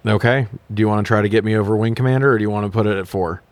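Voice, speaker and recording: A man's voice: lowish voice, fairly even intonation, very fast, clean tone, dry recording. A man co-hosting a podcast, chatting casually about films in a joking, informal, sweary way. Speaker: podcast co-host. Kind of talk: casual movie-review chat.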